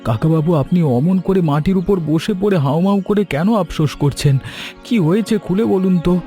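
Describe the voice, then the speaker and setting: A man voicing a younger village helper in an animated story, deferential and solicitous toward his elder. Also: high voice, fairly quick, faint music behind, natural voice. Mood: concerned. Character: concerned, respectful younger male village helper, eager to comfort. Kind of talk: Bengali animated story dialogue.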